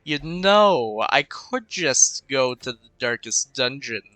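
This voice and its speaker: A young man in a casual gaming commentary, rambling and musing half to himself as he plays. His voice is very bright and clean, though a touch congested. The cheap desk mic sounds dry and close. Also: mid-range voice, lively intonation, slow pace, dry recording, poor audio. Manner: rambling, muttering to himself while thinking. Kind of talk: casual let's-play commentary. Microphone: cheap desk microphone.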